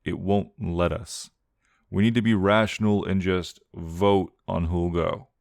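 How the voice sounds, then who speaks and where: A man's voice: deep voice, fairly even intonation, measured pace, gravelly, a little mellow, no echo. A man voicing a stubborn young man with dry, clipped delivery and bitter humour. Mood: obstinate.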